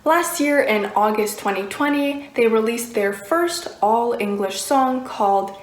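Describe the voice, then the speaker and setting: A woman giving an explanatory video essay commentary in a conversational, measured way. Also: on the high side. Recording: roomy, echoing voice recording.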